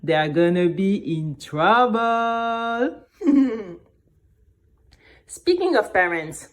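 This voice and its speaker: A woman playing a chatty, excitable teenage girl who tells her own story in a confiding, playful way for an audio drama. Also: mid-range voice, drawn-out words. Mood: gossipy.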